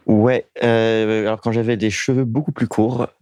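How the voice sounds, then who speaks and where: A young man from the Paris region, a guest answering questions in a casual interview in a relaxed way, with many short "yeah" replies. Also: fairly low pitch, normal speed, no room sound, clean studio sound. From Paris region, France. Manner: laid-back, short answers, many "ouais".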